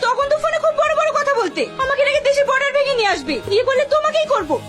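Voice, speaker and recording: An actress playing a feisty, upset young runaway in love in a melodramatic TV drama, with sped-up, raised audio. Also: female voice, very high voice, very animated, racing, music in the background.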